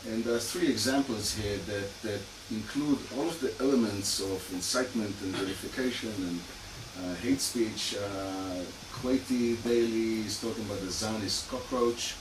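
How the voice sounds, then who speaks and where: A man's voice: on the low side, moderate pace, hardly any pauses. A man with a Hungarian and Israeli accent giving an academic lecture as a criminologist, with many fillers and dry asides. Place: lecture room. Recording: echoey hall, far mic, noisy.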